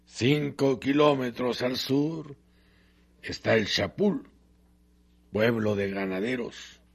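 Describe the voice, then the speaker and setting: A man narrating a radio drama in a solemn, deliberate literary style with dramatic pauses. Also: medium pitch, gravelly, breathy edge.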